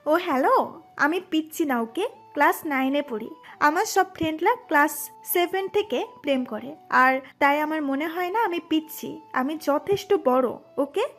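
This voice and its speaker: Cheeky, forward schoolgirl played by a woman, high-pitched, restless and pert, audio drama over music. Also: expressive, measured pace. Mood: indignant, pert. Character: bold, restless, cheeky ninth-grade schoolgirl. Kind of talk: audio story acting. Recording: music under the voice.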